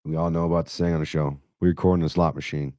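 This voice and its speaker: Man, very deep voice, raspy and hoarse, brash profane podcast host, dry sound. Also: little pitch movement, very fast, mellow, no echo. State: hoarse after illness. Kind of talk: casual crude banter.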